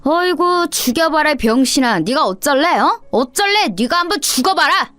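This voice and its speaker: A woman's voice: high-pitched, lively intonation, moderate pace, a bit bright, no room sound, pitched above the performer's own voice. A woman voicing a cruel, cocky schoolboy bully in a story, with taunting, sing-song jeers. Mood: scornful, goading.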